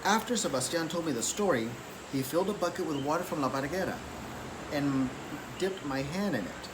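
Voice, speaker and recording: A man reads a children's story aloud with a very animated, fairly high voice. His delivery is expressive and deliberate. Clear background noise runs through the recording. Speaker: story reader. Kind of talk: children's picture book read-aloud.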